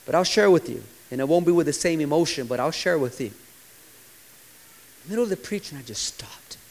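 A pastor in his forties preaching with passion, his voice sitting a little higher than most men's. He swings from raised, urgent lines to soft, hushed ones. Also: expressive, brisk. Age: 40s. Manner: impassioned preaching, sometimes dropping to a quiet, hushed delivery. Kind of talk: sermon.

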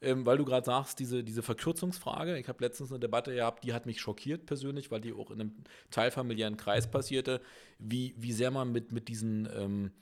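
A man with a Berlin accent hosts a casual podcast conversation. He speaks hesitantly, often pausing on fillers and restarting his sentences. His voice sits at a middle pitch. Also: fairly even intonation. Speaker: podcast host.

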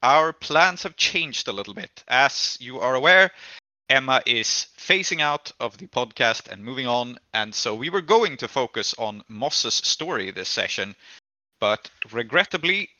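A man with a very bright, forceful voice hosts a tabletop game podcast. He recaps casually, drifting into hesitant asides. The sound is dry and a little dull. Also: mid-range voice, lively intonation, unhurried, dry recording. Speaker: podcast host and game master.

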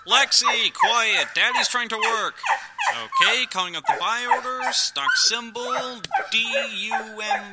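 A man's voice: very high voice, unhurried, very noisy. A man playing a confident, oblivious dad working at home in a live audio play, self-assured and theatrical. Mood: confident, preoccupied.

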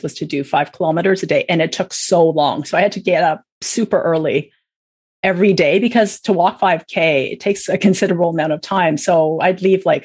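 A woman's voice: lowish voice, darkish tone, breathy edge. A middle-aged woman with a Canadian accent, chatting as a podcast guest in a casual, self-deprecating way. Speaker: podcast guest, charity executive director. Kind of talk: casual interview conversation.

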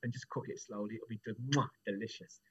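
A man's voice: lowish voice, steady tone, fast talker, fairly bright tone, slightly rough, muffled recording. A man voicing an eager, helpful fox who chats enthusiastically in an oral folktale. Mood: enthusiastic. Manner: enthusiastic, chatty. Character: eager, helpful male fox, food-loving and friendly.

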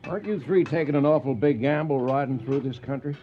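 An actor playing a steady, fatherly veteran cattle drover in a radio western, measured and matter-of-fact, with an American accent. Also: medium pitch, muffled recording, a little hiss or hum, close to an everyday voice. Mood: inquiring.

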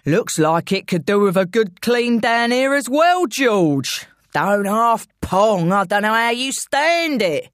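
A woman voicing a coarse male henchman with a hoarse, gruff, old-style London accent in an audiobook. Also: mid-range voice, very animated, slow, hardly any pauses, no echo, clean studio sound. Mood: sneering.

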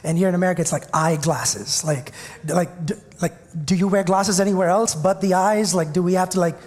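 A man's voice: high voice, brisk, darkish tone, in a large hall. A man from India with an Indian English accent, a pastor giving a chatty, joking sermon to his congregation. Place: church sanctuary.

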